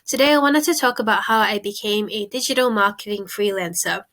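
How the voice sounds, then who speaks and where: A woman's voice: mid-range voice, fairly quick, fairly bright tone. Young woman, from Singapore, casual chat, small indoor room, webcam. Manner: chatty, gestures with hands. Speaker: vlogger. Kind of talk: casual talk to camera.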